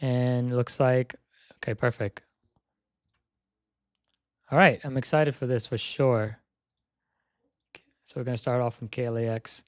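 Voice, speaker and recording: A young man live-streaming a flight sim session, chatting casually and thinking aloud as he sets up, his voice slightly hoarse. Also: mid-range voice, steady tone, measured pace, pauses often, no room sound, clean studio sound. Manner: repeats "yep" while checking items.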